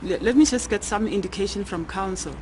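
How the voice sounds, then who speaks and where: A South African woman with a local accent presiding as judge in court, patient and measured. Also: medium pitch, warm tone. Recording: noisy, ambient courtroom recording.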